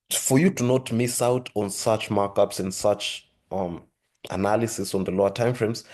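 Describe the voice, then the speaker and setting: A man hosting a trading lesson, talking steadily through charts with a hoarse voice and frequent throat-clearing. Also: on the low side. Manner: steady explanatory, repeats "with that being said". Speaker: forex trading channel host. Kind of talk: screen-share trading lesson.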